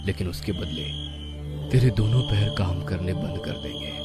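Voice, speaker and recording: A man playing a majestic god in a story, calm, solemn and commanding. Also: low voice, loud music behind the voice, lightly acted. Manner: slow, solemn, measured. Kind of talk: mythic story acting.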